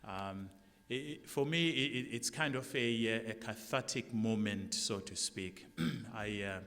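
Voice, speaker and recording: A middle-aged man with a South African accent, speaking haltingly at a formal forum as a candidate for college president. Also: lowish voice, fairly bright tone. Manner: halting, with many restarts. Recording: long hall reverb.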